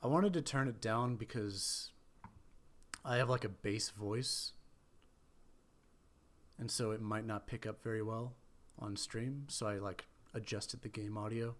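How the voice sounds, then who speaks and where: A man's voice: lowish voice, steady tone, a little slow. A young man chatting casually while livestreaming a game on a small desk mic, rambling and chuckling as he thinks aloud. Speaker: streamer. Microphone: small USB desk mic.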